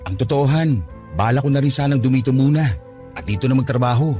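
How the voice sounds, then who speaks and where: A man's voice: mid-range voice, fast talker, mellow, soft background music, lightly acted. An actor playing a devoted young man in a Tagalog radio drama, who earnestly sweet-talks his girlfriend.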